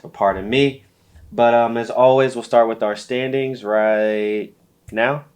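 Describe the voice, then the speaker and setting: A man co-hosting a casual fantasy football show, sounding stuffy from allergies, relaxed with many fillers and small laughs. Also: medium pitch, measured pace. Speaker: podcast co-host.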